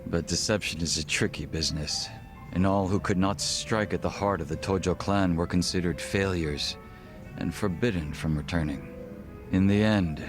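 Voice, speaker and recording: An actor playing a suave, guarded gang second-in-command in his thirties for a video game, speaking in a formal, measured, wry way. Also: sits low, close to an everyday voice. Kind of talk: English video game voice acting.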